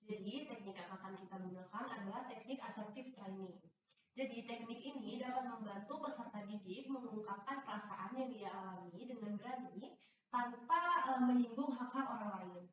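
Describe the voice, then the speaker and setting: A woman's voice: on the high side, normal speed. A young woman leading a group counseling session in a teacherly way, with many hesitant fillers and frequent checks that everyone understands. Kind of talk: classroom-style instruction. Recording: echoey room, distant dull mic, faint noise.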